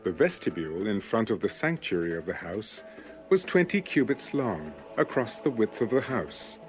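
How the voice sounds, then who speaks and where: A man giving a measured, formal scripted narration as a reader of scripture. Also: on the low side, very animated, soft background music. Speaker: audio Bible narrator.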